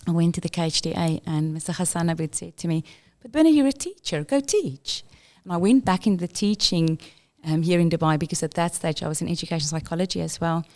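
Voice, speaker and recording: A middle-aged woman from South Africa with a South African accent, a guest on an interview podcast, telling her story in a quick, animated way and sometimes correcting herself. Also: on the low side. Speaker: podcast guest, inclusion leader. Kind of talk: conversational interview.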